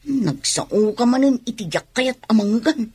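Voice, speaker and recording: A woman's voice: a little high, lively intonation, measured pace, dark tone, husky, exaggerated voice. A woman playing an irritable, curt pregnant young wife in an Ilocano comedy radio drama, speaking with an Ilocano accent. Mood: weary complaining. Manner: grumbling, curt, complaining.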